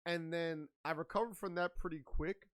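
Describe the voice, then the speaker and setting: Congested guy hosting a chill podcast, kinda high voice, hypes everything up with slang. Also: steady tone, normal speed, clean tone. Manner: hyped, playful, slangy delivery. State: congested, slightly nasal from a sinus infection. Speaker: podcast host. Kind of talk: casual podcast chat.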